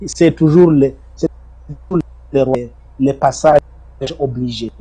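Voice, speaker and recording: Congolese guy on an interview, husky dark voice, keeps repeating himself, pushes hard then trails off low. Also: slow pace, rough recording. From Democratic Republic of the Congo. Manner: insistent, repeats himself, emphatic rises and low trailing ends. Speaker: interview guest. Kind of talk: defensive testimony in an interview.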